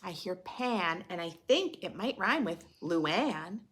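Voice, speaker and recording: A woman's voice: lowish voice, lively intonation, takes it slowly, fairly bright tone. A woman hosting a read-aloud for preschoolers, expressively reading a picture book and adding simple teaching questions and explanations in a dramatic way.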